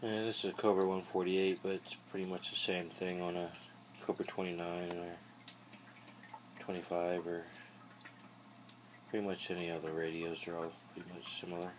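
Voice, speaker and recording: A man talking casually through a hands-on repair demonstration, unscripted, in a plainspoken, halting, matter-of-fact way. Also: deep voice, little pitch movement, a little hiss or hum. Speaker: hobbyist demonstrating a radio repair. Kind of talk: casual unscripted how-to narration.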